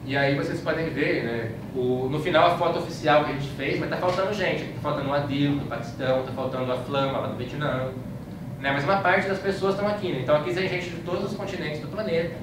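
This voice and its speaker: A man's voice: a little high, quick pace. Man, Brazilian Portuguese accent, informal travel lecture, conversational storytelling, very echoey room, noisy recording. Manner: conversational, anecdotal, frequent checking tags. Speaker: speaker giving a talk about his trip. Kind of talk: informal slide-show lecture, recounting travels. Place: indoor room, live talk to an audience. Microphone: far from the speaker. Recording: echoey room, distant mic, clear background noise.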